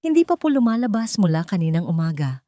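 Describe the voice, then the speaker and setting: A woman's voice: on the low side, very animated, quick pace, dark tone, no room sound, studio quality. A woman voicing a respectful household maid answering her employer in a narrated audio story. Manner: polite, respectful. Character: deferential household maid, polite and hushed.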